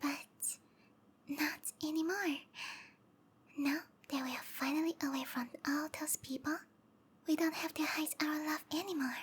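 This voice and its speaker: A woman voicing a sweet, obsessive snake-girl lover in a breathy, cooing whisper for an intimate ASMR roleplay. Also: sits high, steady tone, measured pace. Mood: softly menacing. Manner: cooing, tender, possessive.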